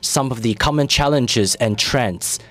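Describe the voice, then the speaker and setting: Man interpreting a speech live, halting. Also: mid-range voice, a bit bright. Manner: halting, with frequent fillers and restarts. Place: conference hall.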